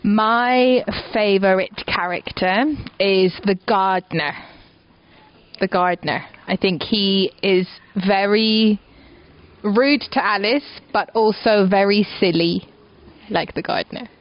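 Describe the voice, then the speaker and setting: Actress taking audience questions, slow and careful, dull lo-fi sound. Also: female voice, medium pitch. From Scotland. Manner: slow, careful, simple wording. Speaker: stage actor.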